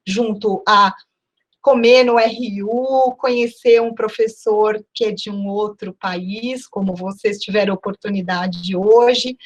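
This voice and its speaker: Heard first is an unhurried, rather high woman's voice, a professor from Porto Alegre. She gives a closing talk at a university event in a measured, formal lecturing way. Her words come slowly and with care. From Porto Alegre, Brazil. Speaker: guest professor.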